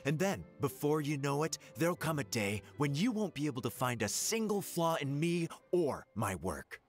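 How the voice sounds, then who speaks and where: A man's voice: a little high, sing-song, moderate pace, faint music behind. A man playing a cocky, hot-headed young junior official in a video game, brash and confident. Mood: confident, upbeat.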